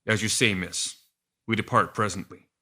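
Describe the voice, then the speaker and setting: Man playing a deferential coachman, bright and forceful. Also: fairly low pitch, steady tone, moderate pace. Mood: deferential, cautionary. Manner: polite, deferential. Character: deferential male coachman, plain-spoken and cautionary.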